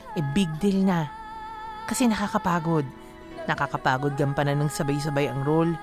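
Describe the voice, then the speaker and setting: A woman's voice: low voice, natural voice. An actress playing a weary young girlfriend, confiding her own story in Filipino radio drama narration.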